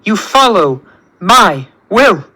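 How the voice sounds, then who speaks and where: Guy doing mad scientist villain with a god complex, high sharp voice, ranting and grandiose, comic book story. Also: sing-song, exaggerated voice. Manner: grandiose, ranting, drawn-out commands. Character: megalomaniac male villain scientist who calls himself god.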